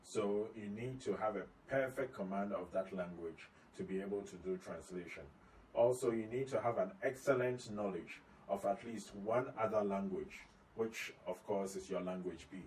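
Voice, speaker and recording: A man with a fairly low voice gives an informal video lesson, walking through tips one by one in a steady, explanatory way and restating the main points. He sits somewhat back from the microphone, and a faint hum of room ambience runs underneath. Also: normal speed. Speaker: company CEO giving tips. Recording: slightly distant mic, faint ambient noise.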